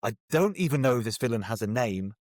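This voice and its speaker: A man's voice: medium pitch, lively intonation, fast, a little mellow, no echo, clean studio sound. A man with a British accent, speaking as a guest author in a casual interview, jokey, self-deprecating and rambling a little.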